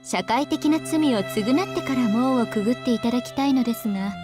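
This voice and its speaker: An actress playing a prim, courteous nun in a visual novel, formal and composed with a barbed politeness. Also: female voice, on the high side, racing, lightly acted. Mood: politely stern. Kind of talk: Japanese visual novel voice acting. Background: game music.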